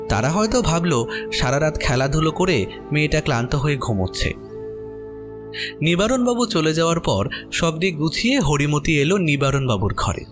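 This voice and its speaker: A man narrating a scripted horror story in a dramatic style. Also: on the high side.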